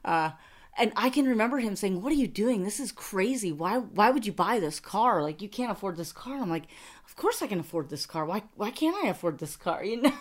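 A woman's voice: medium pitch, very animated, fairly quick. A middle-aged woman co-hosting a podcast, chatting casually, laughing often and restarting her sentences. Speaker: podcast co-host. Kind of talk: casual chat.

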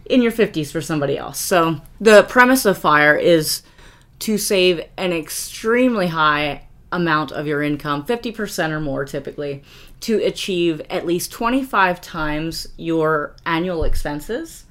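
A woman co-hosting a casual podcast, her voice sitting a little low for a woman. She lays out ideas patiently and breaks into laughter often. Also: lively intonation, a little slow, a bit bright. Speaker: podcast co-host.

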